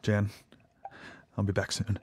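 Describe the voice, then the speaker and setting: A man's voice: fairly low pitch, monotone, unhurried, husky. A man playing a nervous, lovesick lab assistant in an audio drama, hesitant and fervent, with a tendency to stammer. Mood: shaken.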